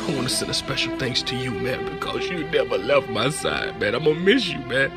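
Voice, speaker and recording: Man voicing a gushing, raised-pitch chimpanzee, music behind. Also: big pitch swings, fairly quick. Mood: tearful gratitude. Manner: gushing, emotional. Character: sentimental, grateful male chimpanzee. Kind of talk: comic animal voiceover.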